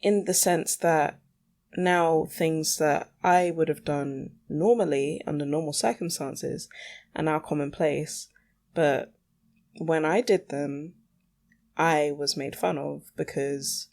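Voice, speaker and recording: A woman's voice: low-pitched, slow pace, a little mellow. A young adult woman hosting a solo podcast in a casual, candid, slightly exasperated rambling style. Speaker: podcast host.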